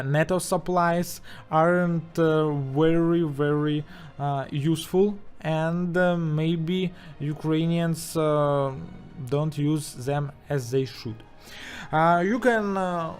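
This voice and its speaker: A man speaking English with a strong Russian accent, commenting haltingly over footage with many fillers and restarts. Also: a little high, a little mellow. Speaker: channel host.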